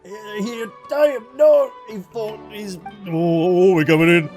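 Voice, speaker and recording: A man giving casual playthrough commentary as a game streamer, playful and jokey, reacting out loud. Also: sits high, lively intonation, slow pace. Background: game music.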